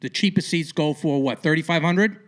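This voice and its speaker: A man's voice: fairly high pitch, fairly quick. A man hosting a sports podcast, asking rambling, opinionated questions in an informal interview style. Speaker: podcast host interviewing a guest.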